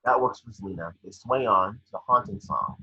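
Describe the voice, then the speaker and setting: Very raspy man reading scene descriptions. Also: lowish voice, a little fast, pushed and bright, lo-fi sound. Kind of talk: scripted narration at a table read.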